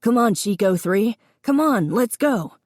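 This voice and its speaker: A woman's voice: on the high side, animated, unhurried, a little mellow, dry recording, studio quality, voice pushed up. A woman voicing a big, simple-hearted young man in an audiobook, open and eager. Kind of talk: audiobook character dialogue.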